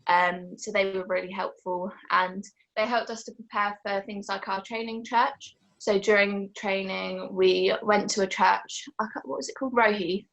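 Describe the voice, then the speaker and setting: This is a young woman of eighteen from England, talking casually and warmly with her team. Her voice has a noticeable vocal fry at the ends of her phrases. She sits in the middle of the usual pitch range for a woman. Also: steady tone, normal speed, few pauses, low-quality recording. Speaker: gap-year team member. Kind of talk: casual group presentation.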